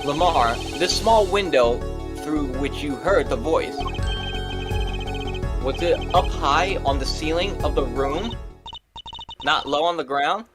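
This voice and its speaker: Man playing an earnest young defense lawyer, fairly high-voiced. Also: takes it slowly, long pauses, music in the background. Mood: insistent.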